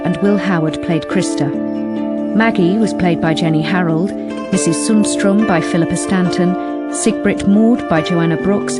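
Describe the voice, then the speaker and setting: A woman working as an announcer, reading scripted radio drama credits in a formal list. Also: on the low side. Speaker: continuity announcer.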